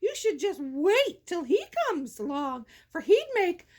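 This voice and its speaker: A woman's voice: extremely high pitch, big pitch swings, unhurried, full character voice. A woman voicing a frightened middle-sized billy goat in a storybook, whimpering and wheedling to save himself. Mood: frightened, pleading. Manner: whimpering, pleading.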